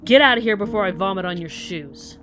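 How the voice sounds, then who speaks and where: An actress playing an angry, sardonic, foul-mouthed police detective in an audio drama, with a blunt delivery. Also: female voice, medium pitch, expressive, a little fast, a bit bright, slight background noise, natural voice. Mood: scathing anger.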